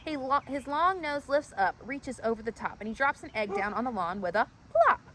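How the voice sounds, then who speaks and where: A woman with a fairly high voice reads a rhyming children's story aloud. Her pitch swings widely in an animated storyteller's delivery, and there is faint noise behind her. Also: moderate pace.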